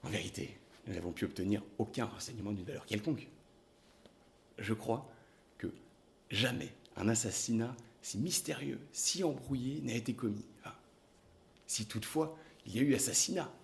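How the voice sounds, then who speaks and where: An actor playing a calm, reasoning male detective who speaks in a measured, deliberate way. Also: medium pitch, creaky, a little hiss or hum, lightly acted. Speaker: investigator.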